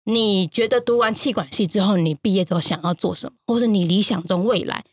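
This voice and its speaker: A woman's voice: medium pitch, quick pace, slightly rough, dry recording, clean studio sound. A woman with a Taiwanese Mandarin accent telling stories casually as a podcast guest. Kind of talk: casual, animated storytelling in conversation.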